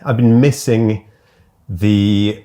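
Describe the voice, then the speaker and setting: Low-voiced calm man, raised in France. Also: very slow. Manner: calm, thoughtful, slightly hesitant. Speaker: guest. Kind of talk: casual interview chat. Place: small home room.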